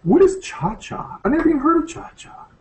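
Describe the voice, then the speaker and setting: Animated, joking male podcast host. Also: very high voice, fairly quick. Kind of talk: casual podcast chat. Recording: echoey room with faint noise.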